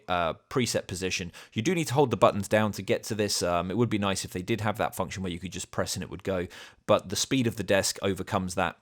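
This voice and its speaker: A man with a cold, his voice a bit thicker than usual, hosting an easygoing product review at home and explaining the features step by step. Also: deep voice. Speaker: channel host reviewing a product. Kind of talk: casual product review to camera. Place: home dining room.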